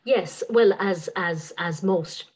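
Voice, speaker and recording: Woman novelist, low voice, careful and hesitant, restarts mid-sentence. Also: slow, a little mellow, slightly rough. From Ireland. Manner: thoughtful, many repeated words and restarts. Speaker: novelist guest. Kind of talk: interview answers.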